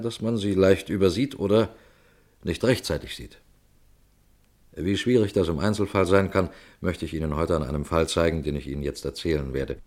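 A measured, deep-voiced detective, played by a man, speaks straight to his listeners in an old radio crime play. He explains crimes patiently and steadily, with a low and calm voice. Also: natural voice. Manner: measured, addresses audience directly. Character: calm middle-aged male police detective. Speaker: narrator of the case. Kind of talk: 1960s radio crime drama.

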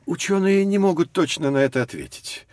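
Actor as a learned professor explaining prehistory. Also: male voice, fairly high pitch, lively intonation, darkish tone, no echo, close to an everyday voice. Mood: patient, instructive. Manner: explanatory, deliberate, storytelling. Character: learned male professor guiding children through prehistory. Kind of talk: scripted educational audio drama.

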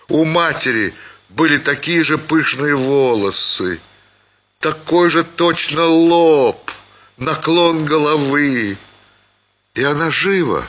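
A man giving an expressive, theatrical reading of a literary story as a radio actor. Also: mid-range voice, highs cut off. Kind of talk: dramatic literary narration.

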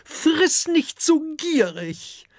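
Performer as a high-pitched, scolding dragon mother. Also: sing-song, very slow, no room sound, studio quality, heavily acted. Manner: scolding, no-nonsense. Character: bossy, soothing dragon mother and wife.

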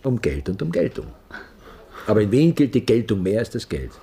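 A self-adoring male stage star with an Austrian accent. His lowish voice swings widely up and down as he declaims. He is grandiose and condescending, savouring his own importance in a radio play. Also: big pitch swings, brisk, creaky, dark tone, slightly rough, full character voice. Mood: grandiose, self-satisfied. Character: pompous, self-adoring male star actor of Vienna theatre. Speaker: actor in a radio drama.

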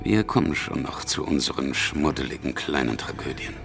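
A worldly revolutionary politician with a very low voice. He banters with cynical, teasing irony in a radio drama, with music clearly playing behind him. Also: lively intonation, moderate pace, exaggerated voice. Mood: wry, cynical. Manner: ironic, bantering. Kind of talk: German radio drama dialogue.

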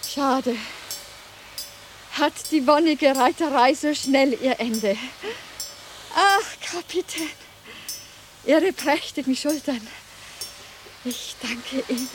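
A woman's voice: high voice, slow pace, pauses often, a little hiss or hum. An actress playing a dreamy, playful lady in a radio play, speaking in an admiring, theatrical way. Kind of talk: German radio play acting.

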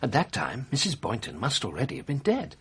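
Very raspy, expressive French doctor. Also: male voice, mid-range voice, brisk, exaggerated voice. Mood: precise, emphatic. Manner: formal, exact, emphatic. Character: precise, confident French male doctor giving evidence. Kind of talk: radio drama acting.

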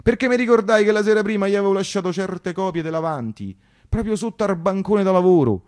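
Male stage storyteller, Roman accent, higher-than-average pitch, colloquial and emphatic monologue delivery. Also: lively intonation, fast talker, darkish tone. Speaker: actor-storyteller. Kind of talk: theatrical first-person monologue in dialect.

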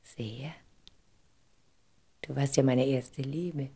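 An actress playing a wistful, tender woman in love in a German radio drama, soft and hesitant in delivery. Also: rumbling low, steady tone, drawn-out words, breathy edge, exaggerated voice. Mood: tender, shy.

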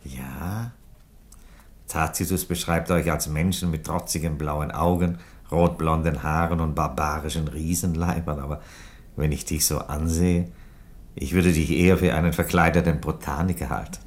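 An actor playing an older, world-weary Roman emperor in a radio play, phlegmatic, unhurried and dryly ironic. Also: male voice, a bass voice, steady tone. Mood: amused, courteous. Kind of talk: theatrical radio play dialogue.

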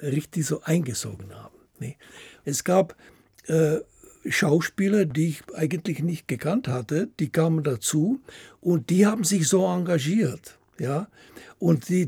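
A man with a husky, gravelly voice giving interview recollections as an author, haltingly, often ending phrases with tag questions. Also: fairly high pitch, warm tone, no room sound, clean studio sound. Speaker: author of radio plays, guest.

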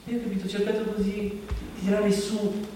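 A man's voice: high-pitched, completely flat delivery, a little fast, warm tone, close to an everyday voice. Echoey, exasperated man acting on a stage. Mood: weary, telling. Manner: exasperated, digressive, conversational storytelling. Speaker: stage actor. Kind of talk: theatrical monologue in Italian stage production. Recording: very echoey hall, distant mic, faint noise.

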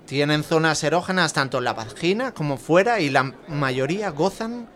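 A man's voice: on the high side, expressive, moderate pace, a bit bright. An actor with an accent from Spain playing a thoughtful, outspoken man who confides intimately in a friend, reflective and hesitant, repeating words. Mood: confiding. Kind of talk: Spanish audio fiction dialogue.

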